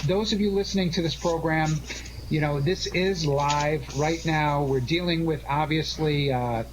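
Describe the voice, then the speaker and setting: Earnest middle aged radio host guy, slightly high voice, stumbles a bit, thankful, noisy net call. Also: steady tone. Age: 40s or older. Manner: halting, earnest, effusively thankful. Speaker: show host and director. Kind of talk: casual live radio chat. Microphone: Skype-type connection. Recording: internet call with background noise.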